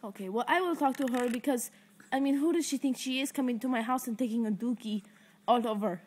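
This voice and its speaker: A teenage girl fakes a panicked phone call, rambling and overdoing her distress. Her voice sits high and is picked up close by a laptop webcam in a living room. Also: fast talker, a little mellow, slightly rough. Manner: fake-distressed, rambling, stifling laughs. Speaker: prank caller. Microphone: laptop webcam mic up close.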